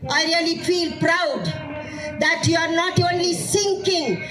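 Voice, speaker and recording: A woman, her voice worn hoarse, giving a fervent rally speech, shouting and asking rhetorical questions. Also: extremely high pitch, steady tone, slow pace, over music. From India. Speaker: activist speaking at a rally. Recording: speech over a cheering crowd.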